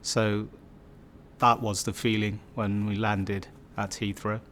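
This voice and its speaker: A man's voice: lowish voice, flat delivery, slow, a bit bright. A man in his fifties, from Bangladesh, telling his life story in a reflective way, with many fillers and restarts. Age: 50s. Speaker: community storyteller. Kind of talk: personal life story interview.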